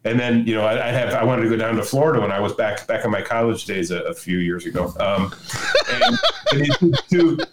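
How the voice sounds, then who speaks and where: A man's voice: on the low side, expressive, brisk. An older man, a podcast guest, chatting casually in a folksy, opinionated storytelling way. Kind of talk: casual conversation. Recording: roomy echo.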